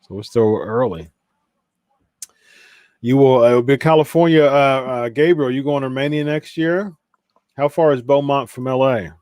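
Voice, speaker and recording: A Midwestern man hosting a live stream, talking loosely and reading out viewer comments. His voice sits at an ordinary middle pitch. The sound is dry and close, with no room around it. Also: normal speed, pauses often, a little mellow, no echo. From Ohio, USA. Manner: reads viewer comments aloud, chatty with fillers. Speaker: watch party host. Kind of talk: casual live-stream chat.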